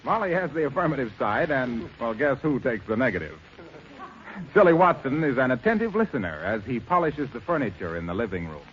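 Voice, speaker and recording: A man's voice: medium pitch, sing-song, fairly quick, a bit bright, slight background noise. A man announcing a 1930s radio show in a polished, formal, showy scripted style. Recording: muffled lo-fi 1930s radio broadcast. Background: orchestra music.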